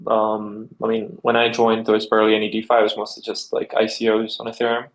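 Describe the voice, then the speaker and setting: A man's voice: fairly low pitch, monotone, fast, poor audio. A young man from the Czech Republic, answering interview questions in a loose, rambling way with lots of fillers. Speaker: guest.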